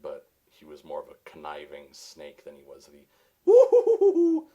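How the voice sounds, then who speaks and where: A voice actor with a very deep, resonant voice, answering questions in a casual interview with dry wit. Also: male voice, wildly swooping pitch, moderate pace, warm tone, slightly rough, dull audio.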